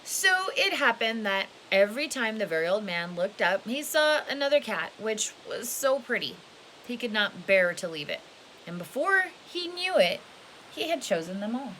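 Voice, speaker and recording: A woman's voice: on the high side, sing-song, normal speed, sharp tone, rough recording. A woman reading a children's picture book aloud expressively, stretching key words and adding casual asides. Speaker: storybook reader. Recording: hissy home recording with background noise.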